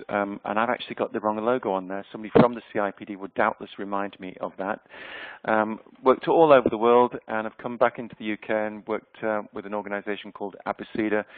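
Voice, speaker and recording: A man's voice: fairly low pitch, no room sound. A man in his fifties from Yorkshire, with a Yorkshire accent, giving an online presentation in a conversational way with frequent ums. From Yorkshire, England. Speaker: webinar presenter. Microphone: webinar microphone. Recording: dry, tinny phone-like webinar audio.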